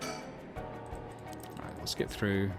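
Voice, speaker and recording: A man's voice: very slow, loud music behind the voice. A man giving casual let's play commentary, musing and thinking aloud in questions. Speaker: gaming video host.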